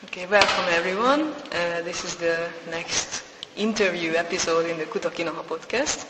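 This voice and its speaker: A man's voice: high voice, normal speed. A man with a Hungarian accent hosting a podcast interview, speaking hesitantly with many fillers. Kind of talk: casual interview. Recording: reverberant room with faint noise.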